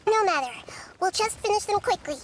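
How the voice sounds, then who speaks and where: A woman voicing a wise, composed child deity in a video game, gently curious and explaining things thoughtfully and articulately. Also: very high-pitched, lively intonation, a little fast, a little hiss or hum. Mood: calm, assured. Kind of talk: voice-acted game story dialogue.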